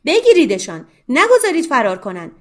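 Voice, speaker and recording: A woman voicing a menacing blind male gang leader who gives orders and threats in loud shouts, in an audiobook. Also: high voice, huge pitch swings, voice pushed up. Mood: threatening.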